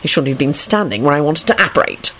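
A man's voice: on the high side, big pitch swings, quick pace, pushed and bright, breathy edge, full character voice. A woman voicing a stern, sardonic male government minister in an audiobook-style story, formal and imperious. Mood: grave, impatient. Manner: formal, sarcastic, clipped commands.

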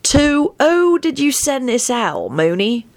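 A woman's voice: high-pitched, sing-song, takes it slowly, pitched above the performer's own voice, exaggerated voice. A woman voicing a haughty, suspicious young male wizard in a story, impatient and insistent.

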